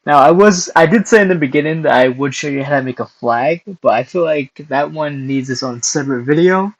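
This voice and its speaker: A young man hosting a casual screen-recorded software tutorial, hoarse and losing his voice, clearing his throat often between steps. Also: on the high side, brisk, dull audio. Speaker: tutorial host.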